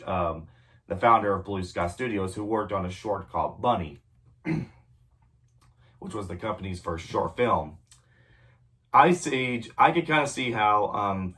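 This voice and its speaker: Young adult male reviewer with a cold, low-ish voice, rambling with ums, recorded away from the mic. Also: bright, forceful tone. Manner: rambling, frequent ums and self-corrections, clears throat often. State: sick with a cold, voice a bit off. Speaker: movie reviewer. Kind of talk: casual movie review vlog. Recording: voice a bit distant from the mic.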